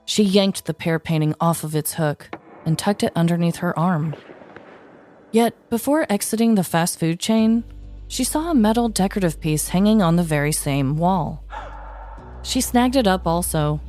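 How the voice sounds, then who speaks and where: Female podcast storyteller: slightly low voice, scripted and mock-dramatic narration over soft music.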